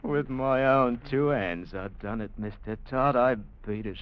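A man's voice: medium pitch, measured pace, clear, resonant tone, natural voice. An actor playing a small, vicious, vengeful man with sneering, folksy speech in a vintage radio drama. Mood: gloating. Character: small vicious vengeful man, gloating over his revenge. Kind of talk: 1950s radio drama acting. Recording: muffled vintage radio recording.

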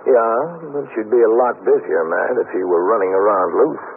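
An actor playing a calm police captain who narrates his cases in a businesslike way in a radio drama. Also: on the low side, moderate pace, gravelly. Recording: muffled 1950s radio recording, hiss.

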